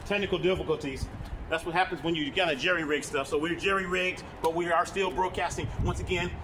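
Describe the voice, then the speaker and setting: Male vlogger, loud and self-correcting, quick delivery; phone recording with noise behind. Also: fairly high pitch, steady tone. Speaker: vlogger and camera collector. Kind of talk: casual on-camera show-and-tell. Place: his broadcaster museum room. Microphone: phone camera, no microphone.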